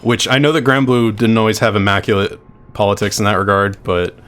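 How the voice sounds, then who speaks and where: A man with a low, deep voice, talking through a game on a live stream. His chatter is loose and unscripted, full of offhand swearing and half-finished thoughts. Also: fairly quick. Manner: chatty, swears freely, thinks out loud. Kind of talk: casual live-stream chatter while playing.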